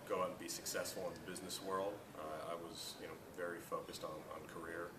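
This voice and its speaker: A man in his thirties, a fraternity councilman, giving measured interview answers with hesitant fillers. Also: low voice, little pitch movement. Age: 30s. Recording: roomy, distant mic, background noise.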